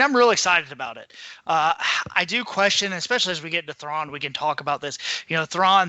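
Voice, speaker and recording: A man's voice: on the high side, bright, forceful tone, no room sound. A man co-hosting a podcast, giving an informal, casual news rundown with some hedging. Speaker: podcast co-host.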